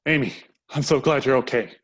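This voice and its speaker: A man's voice: mid-range voice, fairly quick, fairly bright tone, lightly acted. A man acts a concerned police officer dad in a stage drama. His voice is mid-range, earnest and protective as he explains himself. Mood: relieved, earnest. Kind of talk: amateur stage play acting.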